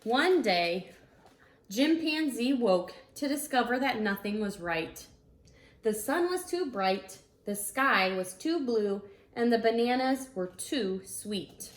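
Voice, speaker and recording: A woman reading a storybook aloud to young children in an expressive, deliberate manner. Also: fairly high pitch. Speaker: school instructional coach reading aloud. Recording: roomy, echoey sound.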